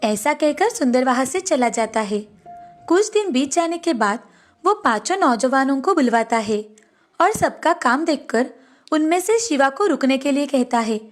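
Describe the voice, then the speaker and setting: A woman's voice: sits high, animated, normal speed, soft background music. A woman narrator reading a scripted moral bedtime story.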